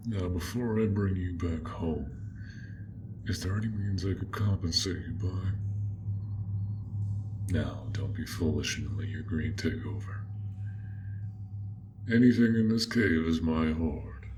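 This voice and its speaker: A man voicing a gentle, awkward dragon in an audio roleplay, with formal, old-fashioned, hesitant phrasing, speaking straight to the listener. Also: deep voice, a little slow, long pauses, noisy background. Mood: proud, generous. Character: courteous, awkward, gentle male dragon, formal and hoard-proud.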